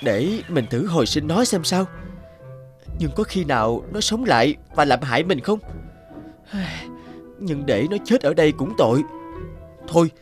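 Animated, high-voiced young woodcutter. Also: male voice, a little slow, pauses often, faint music behind. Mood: pondering, concerned. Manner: exclamatory, talking to himself. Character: earnest, dogged young male woodcutter, strong and kind.

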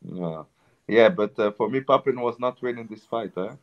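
A man, a boxer guest, answering in halting phrases and repeating words. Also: fairly low pitch. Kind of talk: interview answers. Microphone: video call. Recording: lo-fi video call audio.

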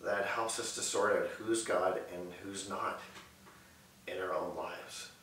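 A man in his thirties preaching and praying earnestly from a sofa in a living room, measured and repetitive in his phrasing. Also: low voice, steady tone, fairly bright tone, recorded from a distance. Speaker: pastor. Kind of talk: sermon and prayer. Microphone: far camera mic. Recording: echoey room audio with faint hiss.

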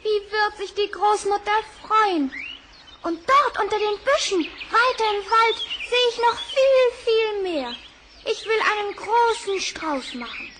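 An actress playing a cheerful, innocent little girl in an old record radio play, eager and bright. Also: extremely high pitch, animated, a little slow, background noise. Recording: vinyl record with music.

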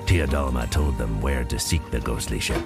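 A man's voice: very deep voice, moderate pace. A man narrating an adventure audio drama in a dramatic, suspenseful storybook style. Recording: loud music under the voice.

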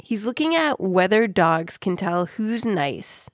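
A woman hosting a kids' science podcast, explaining things clearly and simply in a conversational way. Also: medium pitch, sing-song, slow. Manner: clear simple explaining, addressing young listeners. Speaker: podcast host.

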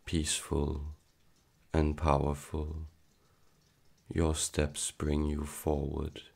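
An extremely low-voiced man guides a meditation at a very slow pace. His delivery is solemn and hypnotic, broken into short phrases with a steady, nearly monotone line. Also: gravelly. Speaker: channeler leading a guided meditation.

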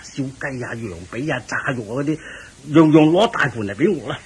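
A man's voice: mid-range voice, lively intonation, brisk, slightly rough, rough recording. A man with a Hong Kong Cantonese accent playing a fat, gluttonous, jovial king in a radio drama, exclaiming and expansive. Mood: greedy, eager.

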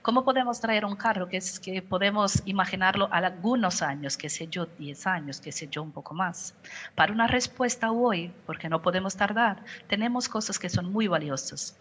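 A woman's voice: mid-range voice, moderate pace, a bit bright. A middle-aged woman from Brazil with a Portuguese accent, a communications director answering questions on a video call, choosing her words carefully and often restarting. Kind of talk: panel interview answer. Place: home office, indoors. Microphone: headset on video call.

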